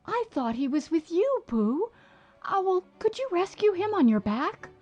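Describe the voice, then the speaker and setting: A man's voice: very high-pitched, sing-song, unhurried, darkish tone, muffled recording, pitched above the performer's own voice, heavily acted. A man voicing an eager, affectionate young boy in a storybook reading, talking earnestly and a little bossily.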